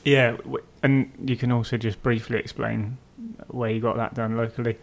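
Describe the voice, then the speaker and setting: A man's voice: mid-range voice, flat delivery, normal speed, gravelly. A man co-hosting a podcast, musing aloud in a hesitant, teasing way with lots of fillers. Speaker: podcast co-host. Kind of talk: casual chat.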